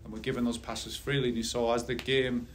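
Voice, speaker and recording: Middle-aged male coach at a press table mic, even and unhurried, flat delivery, faint room noise. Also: mid-range voice. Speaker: head soccer coach. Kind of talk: post-game press conference answers. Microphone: table mic on a stand.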